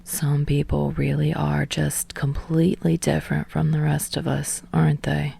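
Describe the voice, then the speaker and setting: Woman doing a low, mumbling, tired young rocker woman. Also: normal speed, creaky, breathy edge, exaggerated voice. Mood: muttering. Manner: flat, mumbling, low-key. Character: tired, awkward young woman rock musician, dryly self-conscious.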